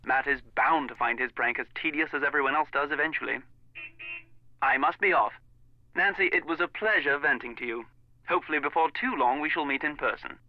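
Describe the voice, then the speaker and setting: A man's voice: fairly high pitch, brisk, a little hiss or hum. An actor playing a fussy, indignant Englishman in a video game, speaking formally with a British accent through a telephone filter. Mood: gossipy disdain. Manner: formal, pompous, clipped disapproval. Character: fussy, indignant English wedding guest on the phone. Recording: muffled narrow phone line sound.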